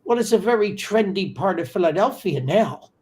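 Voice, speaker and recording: A man's voice: high-pitched, animated, moderate pace, darkish tone. Older man hosting a casual interview. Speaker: podcast host. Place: home study with bookshelves. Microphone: video call.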